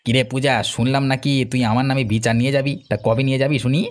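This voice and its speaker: A man's voice: mid-range voice, little pitch movement, rapid-fire, close to an everyday voice. A man voicing an arrogant village bully in a cartoon, with a taunting, threatening manner. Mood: mocking, menacing. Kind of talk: Bengali cartoon voice acting.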